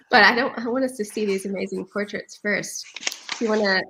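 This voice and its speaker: A woman's voice: medium pitch, bright, forceful tone, slight background noise, poor audio. A woman from New York City moderating a live online panel over a video call, upbeat and laughing often. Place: home living room.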